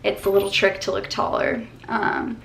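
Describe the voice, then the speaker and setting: A woman's voice: mid-range voice, level tone, moderate pace, vocal fry, a little hiss or hum. A woman in her twenties from Colorado, chatting casually and upbeat through a Q&A vlog, filled with "like" and "um", recorded in her bedroom on a camera at desk distance. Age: 20s. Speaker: vlogger.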